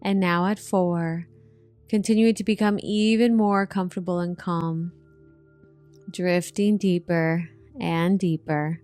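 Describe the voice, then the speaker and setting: A woman narrating a guided meditation in a soothing, gentle manner, with drawn-out hypnotic phrasing. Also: lowish voice, fairly even intonation, clean tone. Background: relaxation music.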